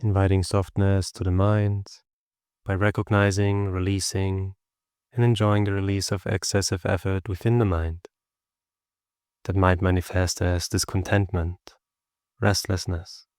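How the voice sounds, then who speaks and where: A man leading a guided meditation as a teacher, speaking gently and instructively with long pauses. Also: low voice, flat delivery, clean tone, no echo, studio quality.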